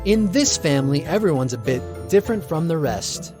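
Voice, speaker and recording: A man's voice: a little high, expressive, fairly quick. A man reciting his own rhyming poem in a theatrical, rhythmic storytelling manner. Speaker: poet reading own poem. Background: music.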